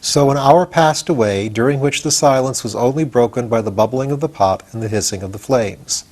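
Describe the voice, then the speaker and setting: A man, reading a children's story aloud as expressive audiobook narration. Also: mid-range voice, a little fast. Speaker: audiobook reader.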